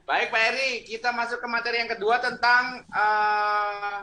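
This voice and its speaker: A man's voice: very high-pitched, level tone, a little slow, bright, forceful tone. A man examining a candidate over a video call, friendly and questioning, with Javanese greetings mixed in. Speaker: examiner, lecturer. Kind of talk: online exam interview.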